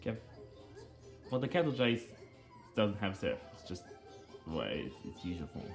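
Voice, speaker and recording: A man giving rambling, casual commentary on video game cutscenes, speaking haltingly with repeated phrases and earnestly explaining small details. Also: low-pitched, big pitch swings. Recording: game music behind the voice.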